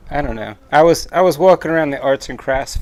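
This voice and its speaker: A man's voice: fairly high pitch, fast. A man in his thirties co-hosting a live craft stream from a workshop, chatting and teasing playfully while he works, picked up by a camera mic. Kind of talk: casual joking chat while crafting.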